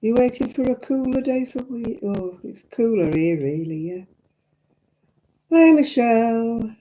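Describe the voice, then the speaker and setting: An older woman talks casually to her live viewers, greeting them as she rambles on. Her voice is croaky and very dark and mellow in tone. The audio from her simple mic has a crackle to it. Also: on the high side, animated, a little slow. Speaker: crafting livestream host. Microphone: own simple mic, live stream.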